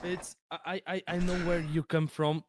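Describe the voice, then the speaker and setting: Man, non-native English speaker, higher voice, halting, stammers, searches for words, casual voice chat. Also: flat delivery, crowd noise. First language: not English. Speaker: helper in a study chat.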